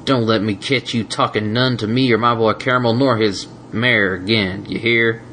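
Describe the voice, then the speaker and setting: Big protective farm horse, read by a man, slow blunt folksy talk, low voice. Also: steady tone, sharp tone, a little hiss or hum. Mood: threatening. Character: big red farm stallion, gruff and protective.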